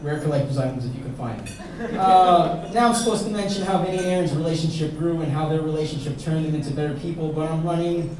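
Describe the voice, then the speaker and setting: A young man giving a best man's toast at a wedding, reading from a page into a handheld mic and joking at his own expense. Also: on the high side. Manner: joking, self-mocking, pausing for laughs. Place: large banquet hall at a wedding, indoors. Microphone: handheld mic, filmed by a far camera. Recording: echoey hall from far off, crowd laughing.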